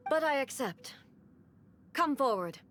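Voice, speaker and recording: A woman playing a stern, regal female ruler in a video game, speaking in a formal, measured, commanding manner. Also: sits high, expressive, a bit bright, soft background music, heavily acted. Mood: solemn challenge. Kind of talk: video game character acting.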